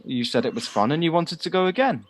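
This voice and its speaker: A man's voice: fairly high pitch, lively intonation, fast, lightly acted. A young man acting a simple, earnest, friendly twenty-five-year-old in an amateur audio drama. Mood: eager, friendly. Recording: faint noise, home-recorded on personal device.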